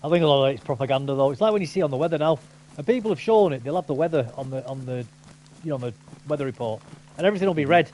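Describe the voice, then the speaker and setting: A man's voice: on the high side, rapid-fire, darkish tone, a little hiss or hum. A man chatting casually with a friend while gaming, rambling and opinionated with many restarts. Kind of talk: casual chat over gameplay.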